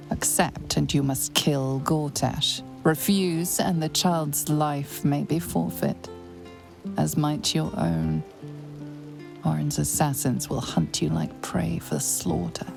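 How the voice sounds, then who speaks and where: An actress playing the grave narrator of a dark fantasy video game, measured, solemn and ominous. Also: female voice, deep voice, gravelly, exaggerated voice. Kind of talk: scripted second-person game narration.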